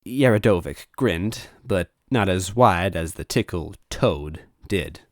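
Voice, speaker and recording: A man reading a first-person story aloud as an animated narrator. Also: deep voice, slow pace, no echo, studio quality. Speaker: story reader.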